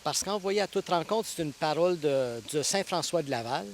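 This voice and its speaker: A man in his sixties from Ontario, a priest answering interview questions thoughtfully, pausing and restarting often. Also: fairly high pitch, lively intonation, a little hiss or hum. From Ontario, Canada. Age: 60s. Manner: thoughtful, with frequent hesitations and restarts. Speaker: priest and guest preacher.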